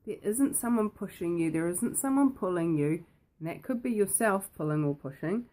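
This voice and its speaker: A woman with a croaky voice, hosting and talking casually to the viewer, playful and full of questions put straight to them. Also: lowish voice, animated, moderate pace, mellow. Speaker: host. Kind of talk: casual talk to camera.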